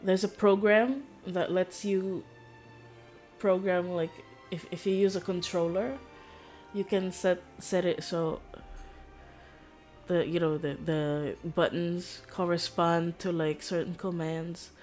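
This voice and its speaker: A woman's voice: fairly low pitch, steady tone, slow, a little mellow, faint music behind. A woman streamer chatting casually while gaming, in a rambling, giggly way.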